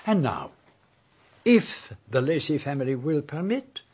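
An actor playing a shrewd, courteous Belgian detective with a French-speaking accent in a radio drama, formal, precise and unhurried. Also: male voice, on the high side, big pitch swings, darkish tone, slightly rough, exaggerated voice. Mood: calm, narrating. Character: shrewd, courteous middle-aged foreign male detective.

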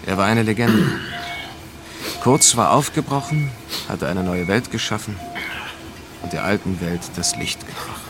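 An actor playing a world-weary, sardonic male expedition veteran in a radio drama, speaking and narrating in a dry, deliberate manner. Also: on the low side, over music. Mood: reflective. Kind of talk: radio drama dialogue and first-person noir narration.

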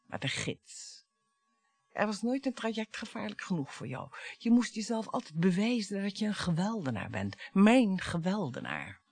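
A woman's voice: mid-range voice, big pitch swings, moderate pace, slightly rough, no room sound. An actress in a radio play playing a bitter, taunting middle-aged wife and mother, sarcastic and dramatic. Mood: bitter reminiscing. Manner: sarcastic, dramatic, swinging between hushed and biting.

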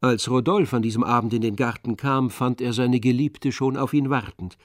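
A man reading scripted literary narration for a radio play in a measured storytelling manner. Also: medium pitch, steady tone, soft background music.

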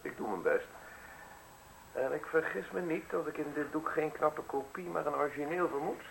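An actor playing a sly, falsely modest male visitor in a radio play, speaking in deliberate, ornate, theatrical phrases with pauses. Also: fairly high pitch, expressive, creaky, a bit bright, poor audio. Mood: appraising, admiring. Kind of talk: Dutch radio play acting. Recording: hissy radio drama recording.

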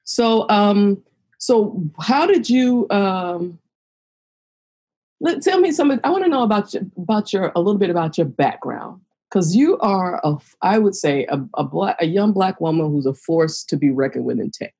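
Husky-voiced outspoken woman podcast host. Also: medium pitch, sing-song, normal speed, a little mellow. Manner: emphatic, outspoken, repeats points for force. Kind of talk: candid conversational podcast talk.

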